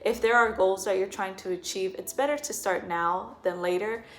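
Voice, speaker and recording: A woman's voice: medium pitch, moderate pace. A woman in her twenties talking earnestly in a personal vlog. She often repeats words for emphasis. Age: 20s. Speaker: vlogger.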